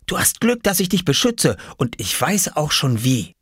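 A man voicing an excitable, bossy, impatient little boy in a children's storybook, exclaiming and scolding urgently. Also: fairly high pitch, normal speed, slightly rough, dry recording, studio quality, voice pushed up, heavily acted. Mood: annoyed, bossy.

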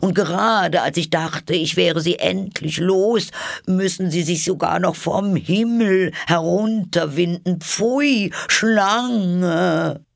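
Man putting on a screeching, high-pitched scolding pigeon. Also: slow pace, creaky, no echo, studio quality, full character voice. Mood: indignant. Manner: screeching, aggrieved. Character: frantic, scolding female pigeon guarding her eggs. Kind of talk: storybook character voice.

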